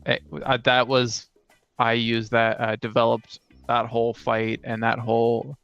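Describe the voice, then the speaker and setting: A man's voice: mid-range voice, completely flat delivery, a little slow, fairly bright tone, dry recording, muffled recording, faint music behind. A man in casual conversation as a podcast co-host, explaining things in a matter-of-fact way. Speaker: podcast co-host and game master.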